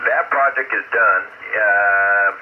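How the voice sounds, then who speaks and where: A man's voice: lowish voice, slow pace. A man, an amateur radio operator, chatting plainly and drifting between thoughts, heard over a ham radio link from outdoors. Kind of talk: casual radio chat. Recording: tinny, static-laden radio signal.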